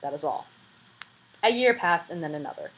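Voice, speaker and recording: A woman's voice: on the low side, expressive, normal speed, poor audio. A woman reading a classic novel aloud as an amateur audiobook reader, with a dramatic, emphatic delivery and an informal touch.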